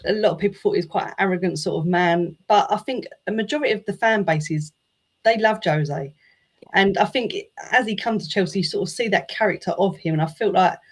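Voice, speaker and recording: A woman guest on a podcast who talks about football in a relaxed, casual way, filling her answers with um and sort of. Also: medium pitch. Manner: relaxed, with many fillers. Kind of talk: casual interview chat.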